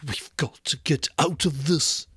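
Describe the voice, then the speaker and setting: A man's voice: fairly high pitch, unhurried, a bit bright, breathy edge, no echo, voice pushed up, heavily acted. A man voicing an anxious, dignified and fussy schoolboy in a dramatic audiobook reading. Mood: earnest, pleading.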